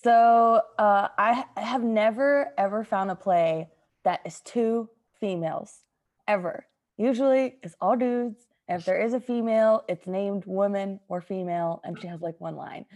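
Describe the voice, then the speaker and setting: A woman's voice: mid-range voice, normal speed, darkish tone, highs cut off. A woman chatting casually with a group as she hosts a podcast. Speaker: podcast host.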